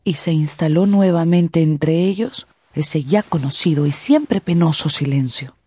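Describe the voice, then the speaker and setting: A woman's voice: low-pitched, measured pace, mellow, breathy edge. A woman narrator reading scripted storytelling for a radio drama.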